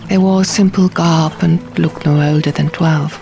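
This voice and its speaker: A woman's voice: low voice, fairly even intonation, a little slow, gravelly, mellow. A woman reading a dramatic first-person fantasy audiobook as narrator. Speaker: audiobook reader. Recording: music behind the voice.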